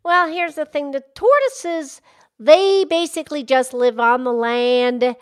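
A woman voicing a cheerful, excitable young pig puppet with a childlike, folksy manner in a children's puppet show. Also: sits high, takes it slowly. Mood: eager, explaining. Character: cheerful, chatty young pig puppet explaining animal facts.

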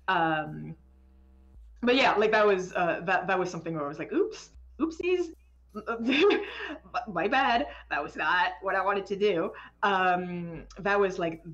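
Animated woman on dull, lo-fi audio. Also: fairly low pitch. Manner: animated, self-interrupting, stammers over words. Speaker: game developer being interviewed. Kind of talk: casual live stream chat.

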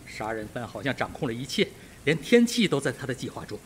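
A man's voice: mid-range voice, sing-song, quick pace, a little hiss or hum, natural voice. A man playing an overwrought, guilt-ridden doctor in a Mandarin radio mystery, fretful in manner. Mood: fearful suspicion. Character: panicky, guilt-ridden male doctor, a reformed drunkard.

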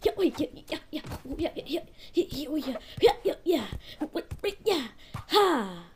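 A woman with a very high, lively voice improvises a cartoon fan dub over faint music. She is excitable and repeats words, yet her overall pace is slow. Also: a little hiss or hum. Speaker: amateur voice-over dubber of an animation.

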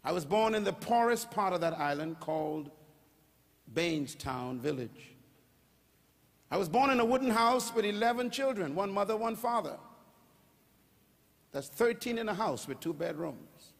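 An older Bahamian man preaches from a large stage into a handheld mic. His voice sits high and rises to shouts as he calls on the crowd to answer. A long reverb from the hall trails each phrase. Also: lively intonation, measured pace, gravelly, pauses often. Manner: preaching with call-and-response, rising to shouts. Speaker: pastor. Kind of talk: sermon.

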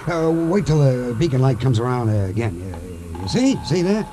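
An actor playing a nervous, chatty small-time American hustler, stammering and slangy, in a 1940s radio drama. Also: male voice, mid-range voice, sing-song, moderate pace, mellow, close to an everyday voice. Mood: chatty, excitable. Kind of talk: 1940s radio character acting. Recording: noisy old 1940s radio recording.

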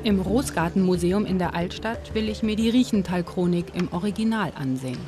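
A woman narrating a documentary and interviewing, measured and clear. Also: on the low side. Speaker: documentary presenter. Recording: music bed under voice.

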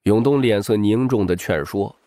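A man's voice: fairly low pitch, normal speed, warm tone. A man narrating a novel as a dramatic scripted audiobook.

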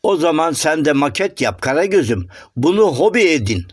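A man voicing a polite, chatty, eager gentleman in a children's cartoon, courteous and coaxing. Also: a little high, big pitch swings, moderate pace, no echo, studio quality. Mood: cheerful. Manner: courteous, coaxing, sing-song explaining. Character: polite, chatty, eager, well-mannered male shadow-puppet gentleman.

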